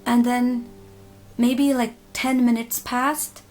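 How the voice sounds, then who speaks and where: A woman with a fairly high voice tells a personal, spooky story in a casual vlog style. She is dramatic and emphatic, leaving pauses as she goes. Music plays clearly behind her. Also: level tone, a little mellow. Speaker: storytime vlogger. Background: background music.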